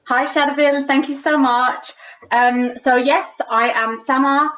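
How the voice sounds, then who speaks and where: A woman's voice: high-pitched, steady tone, a little slow, fairly bright tone. A young woman from London with a London accent, presenting a casual live cooking demo from her home kitchen, chatty and full of ums. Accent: London English. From London, England. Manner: chatty, many ums, light laughs. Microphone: webcam on a video call. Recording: distant webcam mic in kitchen.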